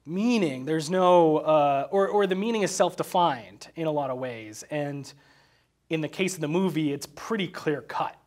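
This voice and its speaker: Male, higher register, halting think-aloud delivery for an informal movie discussion. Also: animated, a little mellow. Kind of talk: casual film discussion.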